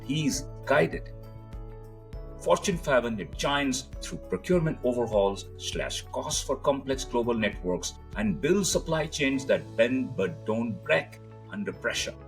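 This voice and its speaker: A man's voice: medium pitch, big pitch swings, a little slow, vocal fry, soft background music. A man hosting an interview podcast, speaking with emphatic, formal phrasing. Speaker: podcast host.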